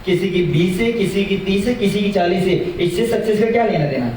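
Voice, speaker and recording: A young man with a high voice gives a lively religious talk to an audience. He asks questions and repeats his key phrases for emphasis. He sounds far from the mic, and his voice rings through a very echoey hall. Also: fairly quick, slight background noise. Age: young adult. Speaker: religious teacher. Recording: very echoey hall, heard from afar.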